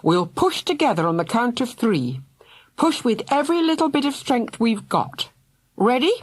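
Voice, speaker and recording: A woman's voice: on the high side, sing-song, moderate pace, breathy edge, pitched above the performer's own voice. Woman voicing an urgent, firm father. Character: firm, determined father searching for kids.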